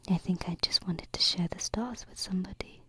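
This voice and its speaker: A woman playing a gentle, affectionate alien princess in an ASMR roleplay, speaking softly and reassuringly into a close binaural microphone. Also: on the low side, slightly rough, no room sound. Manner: soft, slow, reassuring, pausing. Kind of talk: ASMR roleplay, intimate whispering.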